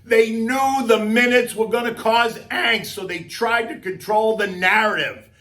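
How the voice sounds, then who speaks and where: A man with a scratchy, hoarse voice giving emphatic, conversational market commentary as a host, full of rhetorical questions and folksy asides. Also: sits high, steady tone, unhurried, pushed and bright. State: hoarse, losing voice. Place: outdoors.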